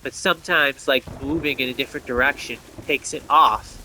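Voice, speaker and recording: A man's voice: medium pitch, brisk, pushed and bright, a little hiss or hum, rough recording. A man giving casual gameplay commentary, explaining things and thinking aloud as he plays.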